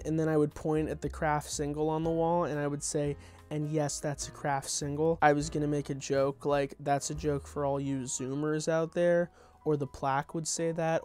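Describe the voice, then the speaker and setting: A man's voice: on the high side, a little mellow. Wry young man reading over music. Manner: wry, off-the-cuff asides between scripted lines. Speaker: content creator reading own script. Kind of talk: informal table read of a comedy script.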